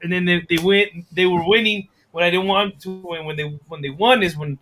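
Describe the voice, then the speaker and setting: A man with a high, bright voice co-hosts a podcast. He chats in an easygoing, slangy way and often repeats phrases as he goes. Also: fairly even intonation, brisk. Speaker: podcast co-host. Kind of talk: casual chat.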